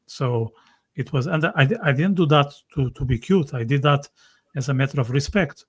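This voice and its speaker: A man's voice: medium pitch, normal speed, slightly rough. A man from Portugal, hosting a podcast, speaking hesitantly and repeating words. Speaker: podcast host. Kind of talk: casual podcast chat.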